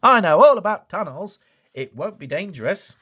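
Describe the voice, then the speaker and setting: A man voicing a small, bold, excitable male tank engine in a children's storybook. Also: sits high, normal speed, voice pushed up, heavily acted. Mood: eager.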